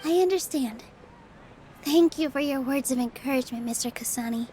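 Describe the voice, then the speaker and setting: Casting: actress as sweet-natured young stage girl for a game, very high voice, polite and earnest. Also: a little fast, faint music behind, natural voice. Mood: grateful. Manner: polite, soft-hearted, earnest. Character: gentle, polite, earnest young female theater dancer. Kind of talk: video game voice acting.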